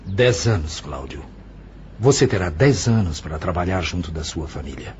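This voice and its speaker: A man as a deep, stern Brazilian spirit guide. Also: expressive, moderate pace, a little mellow, lo-fi sound, slight background noise. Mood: solemn, stern. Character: grave, authoritative male spirit guide passing judgement. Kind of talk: Brazilian audio drama acting.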